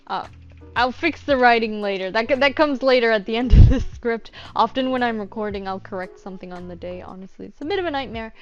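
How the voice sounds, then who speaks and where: A woman with a fairly high voice talks through a tutorial in an informal, self-deprecating way, thinking out loud as she goes. The recording is slightly dull and lo-fi, with soft music underneath. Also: fast talker. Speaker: voice actor giving script-writing advice. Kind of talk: casual tutorial chat.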